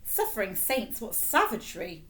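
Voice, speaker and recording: A man's voice: sits high, wildly swooping pitch, normal speed, bright, forceful tone, pitched above the performer's own voice, exaggerated voice, low-quality recording. A woman voicing a vain, gullible king in a storybook, with anxious, dramatic exclamations. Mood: horrified.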